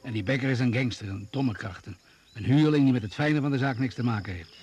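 An actor playing an inquisitive, self-assured doctor in a Dutch radio drama, questioning briskly and with authority. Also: male voice, medium pitch, darkish tone, slight background noise, natural voice. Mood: assured, watchful. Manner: probing, brisk and commanding.

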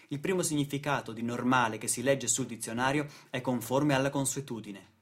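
Husky man delivering theatrical monologue. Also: mid-range voice. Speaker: radio theatre performer.

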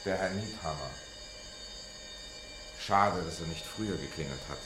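A disillusioned middle-aged man in a radio play. The voice sits very low. He is dry and brooding, with bitter sarcasm and a weariness of himself. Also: unhurried, music in the background. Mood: bitter, sarcastic. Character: bitter, sardonic middle-aged former pupil, weary of himself. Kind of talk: German literary radio play acting.

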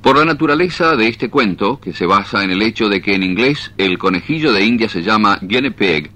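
A man's voice: fairly low pitch, animated, fairly quick, pushed and bright. A man announcing a radio story series in a formal, scripted style.

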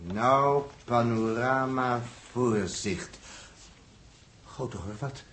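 Precise, knowledgeable spaceship astronomer. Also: male voice, medium pitch, very slow, fairly bright tone. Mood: amused wonder. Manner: precise, explanatory. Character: male spaceship astronomer, factual, knowledgeable, good-humoured crewman. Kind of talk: 1960s Dutch radio drama acting.

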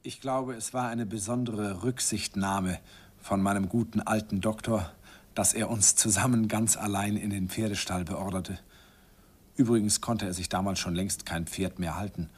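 A man's voice: lowish voice, fairly even intonation, normal speed, breathy edge. An actor narrating a radio play as a middle-aged man fondly recalling his first love, in a wistful, reminiscing way.

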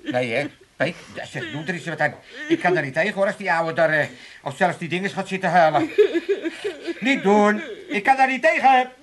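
High, swooping old Amsterdam man. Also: lively intonation, normal speed, fairly bright tone. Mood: whiny. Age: older. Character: cranky, boozy old Amsterdam father with bad leg. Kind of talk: 1980s comic radio play acting.

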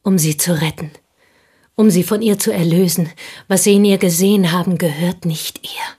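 An actress playing a bitter, confessing village schoolteacher in an intimate German radio play. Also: female voice, fairly low pitch, normal speed, warm tone, husky. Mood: fervent confession. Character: bitter, confessing French village schoolteacher, woman, jealous confidante.

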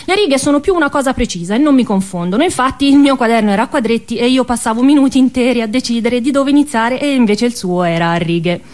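A woman's voice: a little high, expressive, fast, hardly any pauses, darkish tone. Tuscan woman performing a theatrical monologue. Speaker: stage actress performing her monologue. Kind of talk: theatrical first-person storytelling.

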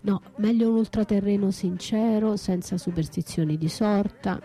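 An actress playing a battered wife left for dead, anguished yet defiant, in a theatrical, poetic radio monologue with drawn-out, breathy delivery. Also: female voice, medium pitch, dark tone, faint music behind, lightly acted. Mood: wary, resolute. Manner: theatrical, drawn-out, breathy, shifting from whispers to cries. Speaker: stage actress and writer performing a solo radio play.

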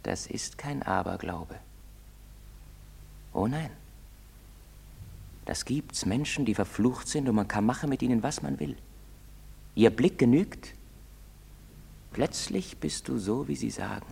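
An actor playing an anxious, brooding young outcast in love in a stage drama, speaking haltingly. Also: male voice, medium pitch, gravelly, warm tone, breathy edge, exaggerated voice. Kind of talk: stage-drama acting in German. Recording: very reverberant hall sound.

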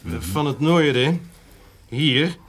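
An actor playing a formal, firm official who presents plans in a measured, slightly hesitant way in a radio drama. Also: male voice, fairly high pitch, big pitch swings, a bit bright, a little hiss or hum, full character voice. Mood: businesslike. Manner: businesslike, measured, with small hesitations.

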